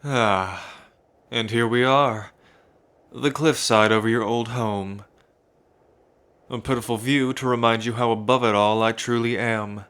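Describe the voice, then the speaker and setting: A man's voice: mid-range voice, steady tone, unhurried, a bit bright, clear, resonant tone, close to an everyday voice. A man playing an arrogant, sadistic would-be emperor in an ASMR roleplay, with a pompous, gloating manner. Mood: smug, gloating. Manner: pompous, gloating, with frequent smug chuckles. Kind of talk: ASMR roleplay villain monologue.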